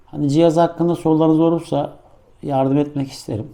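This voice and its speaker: A man's voice: a little high, level tone, a little fast, gravelly, warm tone. A man doing a casual hands-on product review, explaining things step by step in an informal, improvised way. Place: indoor room.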